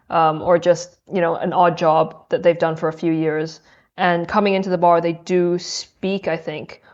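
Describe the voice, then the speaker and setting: Young woman from Hong Kong, measured. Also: on the low side, darkish tone, muffled recording. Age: young adult. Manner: thoughtful, measured, with hesitations. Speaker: podcast guest, law student. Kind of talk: casual interview chat.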